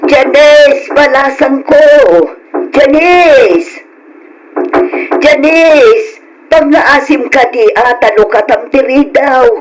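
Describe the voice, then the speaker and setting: A woman's voice: high voice, poor audio. An actress playing a grieving elderly mother in an old Ilocano radio drama, speaking in a plaintive, pleading, emotional way.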